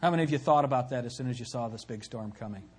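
A man preaching a sermon from the pulpit, emphatic, swinging from loud peaks to low, quiet lines. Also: racing, slightly rough. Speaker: pastor.

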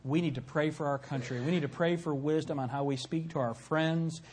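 A man preaching a sermon from the pulpit, emphatic, swinging from loud peaks to low, quiet lines. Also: steady tone, brisk, husky. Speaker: pastor.

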